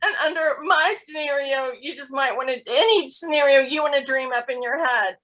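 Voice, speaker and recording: A woman acting in a staged play reading as a confrontational, sarcastic woman who defends her friend with pointed, deliberate words. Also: high voice, a bit bright, poor audio. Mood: angry, impassioned.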